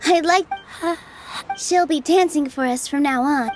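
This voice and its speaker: High-voiced, gentle young dancer. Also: female voice, unhurried, background noise. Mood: grateful. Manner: earnest, encouraging. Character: gentle, encouraging young woman dancer, earnest and kind. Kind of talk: video game voice acting. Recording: music behind the voice. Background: game music.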